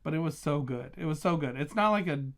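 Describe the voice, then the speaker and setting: A man's voice: on the high side, animated, quick pace. Gushing, enthusiastic man chatting about favorites. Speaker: book reviewer and vlogger. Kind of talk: casual chatty book review vlog.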